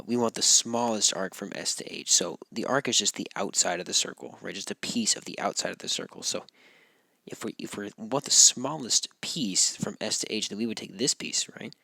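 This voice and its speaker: A male math teacher walks through geometry notes, a little hoarse because he is losing his voice. His voice is lowish and gravelly with a bright edge. He keeps it relaxed and checks in with "right?" again and again, and the sound is dry and close. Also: normal speed, dry recording. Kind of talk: recorded classroom walkthrough.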